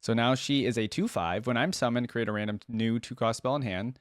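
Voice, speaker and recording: A man's voice: medium pitch, fairly quick, dry recording, clean studio sound. A young man hosting a reaction video, commenting casually in a laid-back, musing way.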